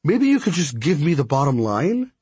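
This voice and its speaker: A man's voice: a little high, sing-song, normal speed, darkish tone, breathy edge, dry recording, pitched above the performer's own voice, exaggerated voice. A man playing a wary, sarcastic boy in an audiobook story, with an incredulous, hesitant and wry way of talking. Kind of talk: acted audiobook dialogue.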